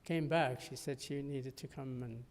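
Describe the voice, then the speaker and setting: A man from Morocco answering interview questions about his background, halting and repeating words. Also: mid-range voice, fairly even intonation, a little mellow. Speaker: board applicant. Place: city council chamber. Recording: echoey council chamber.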